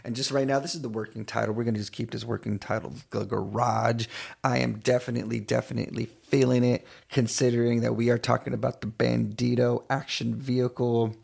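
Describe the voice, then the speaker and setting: A man from East Los Angeles with a gravelly voice, chatting casually to listeners as a radio DJ and show host, repeating phrases as he goes. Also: mid-range voice, level tone, fairly quick, a little mellow. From East Los Angeles, California. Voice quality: gravelly, creaky voice. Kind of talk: casual radio DJ chat between songs.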